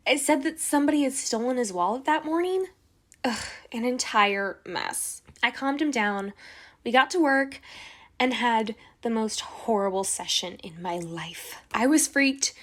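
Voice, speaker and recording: A woman playing an indignant, dramatic Hollywood socialite in an amateur radio play, with a theatrical, exasperated manner. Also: fairly high pitch.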